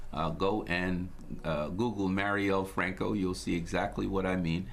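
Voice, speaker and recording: A very deep-voiced man from Guyana hosts his own broadcast. He talks in a measured, sermon-like cadence, weaving in scripture and uplifting sayings. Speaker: host. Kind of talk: inspirational broadcast talk.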